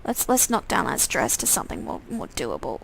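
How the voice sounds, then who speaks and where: A woman's voice: very deep voice, huge pitch swings, fairly quick, vocal fry, a little hiss or hum, rough recording. A woman streaming a visual novel game, reading its text aloud casually and sometimes stumbling over phrases and repeating them.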